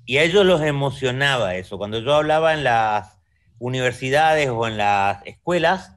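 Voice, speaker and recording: A man's voice: mid-range voice, lively intonation, moderate pace, a bit bright. A man in his fifties from Argentina with an Argentine Spanish accent, speaking reflectively as an artist guest in a conversational interview, often checking in with the listener. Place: room at home. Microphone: video call webcam.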